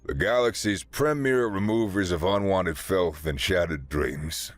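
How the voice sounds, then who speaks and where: A man doing a jaded starship captain with a deep, dry delivery. He stays flat and sardonic throughout, with soft music behind him. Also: measured pace, no room sound. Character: cynical, world-weary male ship captain.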